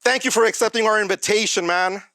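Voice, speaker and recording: A man's voice: sits high, quick pace, sharp tone, no echo. A man hosting a studio interview, opening with a formal announcer-style introduction and then asking questions. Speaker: show host.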